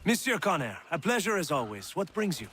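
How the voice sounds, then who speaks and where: An actor with a French accent playing an idealistic, courtly aristocrat officer in a video game, earnest and florid. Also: male voice, fairly high pitch, big pitch swings, fairly quick, a bit bright. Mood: cordial. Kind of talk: dramatic video game acting. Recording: clear outdoor noise with horse hooves.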